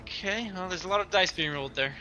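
A man's voice: high-pitched, quick pace. A man chatting casually as a player in a tabletop game. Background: music behind the voice.

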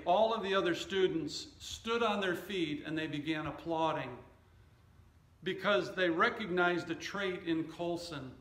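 A middle-aged man preaching a sermon as a pastor, speaking in measured, deliberate short phrases. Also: fairly high pitch, a bit bright, reverberant. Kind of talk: sermon with scripture reading and anecdotes. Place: indoor church stage at a wooden lectern.

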